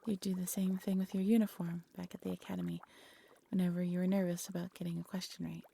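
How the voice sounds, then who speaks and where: A woman playing a devoted, lovesick exiled alchemist in an intimate fantasy audio roleplay, tender and soft-spoken, often dropping into a whisper. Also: on the low side, level tone, quick pace, vocal fry, breathy edge. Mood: fond, teasing. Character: devoted, lovesick exiled alchemist practising dark magic, gentle.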